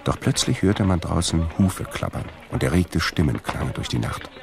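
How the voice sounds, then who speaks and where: An actor as the storyteller of a radio play adventure, a man narrating from a script in a gravelly voice. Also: deep voice, expressive, normal speed. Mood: dramatic. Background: music.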